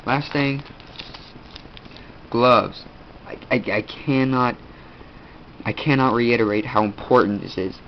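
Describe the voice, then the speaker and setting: A teenage boy with a stuffed-up nose, casually showing his kit and rambling with lots of fillers. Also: medium pitch. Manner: rambling, halting, many fillers. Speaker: video host. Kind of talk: casual gear show-and-tell. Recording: dull lo-fi audio, faint room noise.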